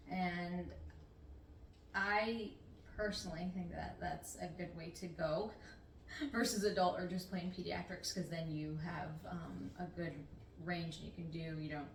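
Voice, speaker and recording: Middle-aged woman, lower voice, easygoing rambling answers, recorded from across an echoey room with faint hiss. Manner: easygoing, talkative, slightly meandering. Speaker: nurse practitioner interviewee. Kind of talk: casual interview answers. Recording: roomy, distant mic, faint noise.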